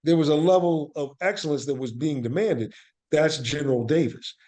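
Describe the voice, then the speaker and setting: An American man speaking as an interview guest, telling stories in an animated, emphatic conversational way and often checking that the listener follows. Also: on the high side, moderate pace. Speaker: guest, actor and playwright.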